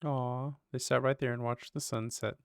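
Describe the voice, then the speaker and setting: A man's voice: mid-range voice, little pitch movement, normal speed, vocal fry, smooth, dry recording, clean studio sound. A man doing casual livestream commentary with wry, joking asides. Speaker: streamer.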